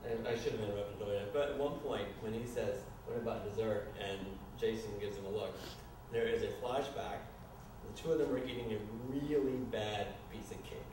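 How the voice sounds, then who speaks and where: A man narrating stage directions at a live staged reading in an informal, halting way. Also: lowish voice. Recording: echoey, noisy room from far off.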